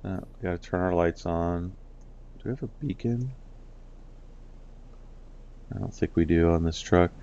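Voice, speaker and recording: Deep gravelly guy with a stuffy nose rambling over a game, dull lo-fi sound, faint music behind. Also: measured pace, long pauses, warm tone, slight background noise. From United States. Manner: rambling, with many ums and uhs. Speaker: let's play host. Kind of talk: casual gameplay commentary.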